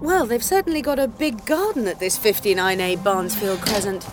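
A woman's voice: mid-range voice, a little fast, close to an everyday voice. Dry female adventurer, acted by a woman, over music. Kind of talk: audio drama acting.

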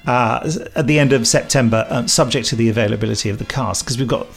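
A man's voice: medium pitch, fast, creaky. A man hosting a podcast in a casual, chatty way, with jokey, self-deprecating asides and chuckles. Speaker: podcast host.

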